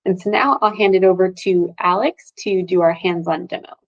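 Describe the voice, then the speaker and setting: A woman's voice: fairly low pitch, fairly even intonation, normal speed, no echo, muffled recording. A woman giving an explanatory business presentation over a band-limited video call.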